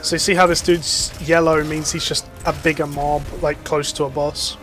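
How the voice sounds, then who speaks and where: A man's voice: fairly high pitch, flat delivery, normal speed, a bit bright, faint music behind. Chatty young guy explaining a game. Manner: chatty, explanatory, many fillers. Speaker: gaming YouTuber. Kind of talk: casual gameplay commentary.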